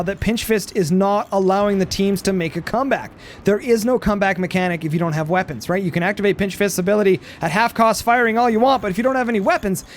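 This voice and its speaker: Male game caster, high voice, hoarse from long sessions, rambling play-by-play over faint game noise. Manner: energetic, rambling, many fillers and restarts. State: hoarse, losing his voice. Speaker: game commentator. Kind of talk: live play-by-play commentary.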